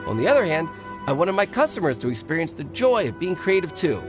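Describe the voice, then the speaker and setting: Eager, high-pitched food vendor. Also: male voice, very animated, fast talker, over music. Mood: enthusiastic, earnest. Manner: eager, deferential, explanatory. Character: eager, earnest male food stall vendor, enthusiastic inventor. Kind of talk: video game character acting.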